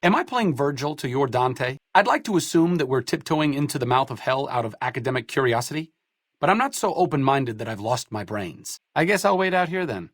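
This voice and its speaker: A man's voice: medium pitch, sing-song, a little fast, dry recording. A man playing a wry, bookish idealist in a video game, with a dry, erudite and sardonic way of talking. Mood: dryly sarcastic. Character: wry, bookish male idealist medic, dryly sarcastic. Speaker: companion character in a role-playing video game. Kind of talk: video game character dialogue.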